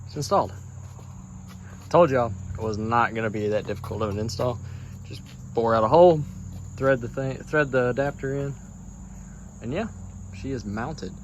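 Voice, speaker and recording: A man's voice: mid-range voice, moderate pace, long pauses, dull audio, a little hiss or hum. An American man talking casually and thinking aloud as he hosts a hands-on truck tutorial in a folksy, informal way. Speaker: DIY truck vlog host.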